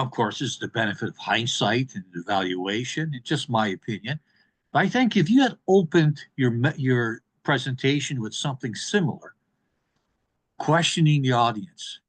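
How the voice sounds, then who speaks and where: A man delivering an evaluation speech at a public speaking club in an emphatic, deliberate manner. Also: fairly high pitch.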